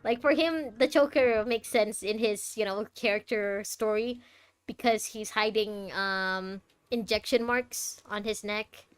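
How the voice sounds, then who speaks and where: A woman streamer with a mid-pitched voice chats casually through a game. She is playful and teasing, reading text aloud at times, with soft game music underneath. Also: unhurried, smooth, dry recording. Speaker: streamer playing a game blind.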